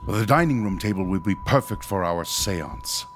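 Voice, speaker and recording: A man's voice: low voice, animated, moderate pace, a little hiss or hum. An actor playing a principled ghost hunter in his fifties, formal, professorial and a little patronizing, in a horror radio drama. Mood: solemn, firm. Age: 50s.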